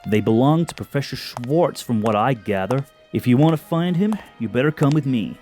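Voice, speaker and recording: A man's voice: mid-range voice, animated, normal speed, darkish tone, soft background music, natural voice. A man playing an alien villain who poses as a brusque American police detective in an audio drama, smug and theatrical. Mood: brisk, official.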